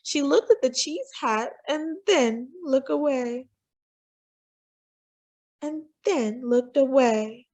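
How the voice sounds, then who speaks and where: A woman reading a children's story aloud as a storyteller, with dramatic, deliberate phrasing. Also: high voice, a little mellow. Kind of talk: expressive children's read-aloud narration.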